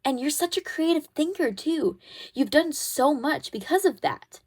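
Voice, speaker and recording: A woman playing a caring, protective teenage girl who comforts her best friend in an ASMR roleplay, soothing and gently bossy as she talks. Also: high voice, expressive, unhurried. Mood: encouraging, reassuring. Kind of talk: ASMR comfort roleplay, one-sided conversation.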